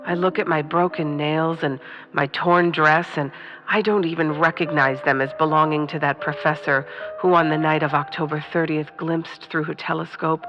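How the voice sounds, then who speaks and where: A woman playing a weary, proud astronomer in a melodramatic comedic radio drama. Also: low voice. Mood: despairing. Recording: dull, echoing radio-play sound with music.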